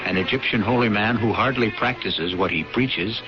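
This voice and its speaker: A man hosting and narrating a radio mystery drama in a deliberate, portentous, rhetorical manner. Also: fairly low pitch. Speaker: host and narrator. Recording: muffled radio broadcast with music.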